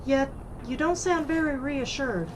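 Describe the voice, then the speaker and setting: A woman's voice: high voice, unhurried, background noise. A woman voicing a young elf recruit in a fantasy game, wary and forthright. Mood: questioning. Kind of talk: fan-voiced fantasy game dialogue.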